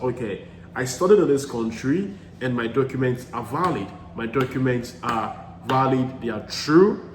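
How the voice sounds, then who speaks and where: A man in the middle of his usual pitch range talks straight to camera, giving earnest, emphatic advice. He repeats key phrases to drive them home and often restarts his sentences. The room has a noticeable echo and a faint hum of noise behind him. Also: darkish tone. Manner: frequent restarts and fillers, earnest. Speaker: study-abroad advice vlogger. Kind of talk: casual advice talk to camera.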